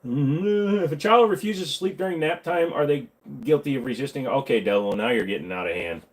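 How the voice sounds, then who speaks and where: A man's voice: medium pitch, brisk. A man hosting a casual livestream, answering viewer questions with crude, rambling jokes.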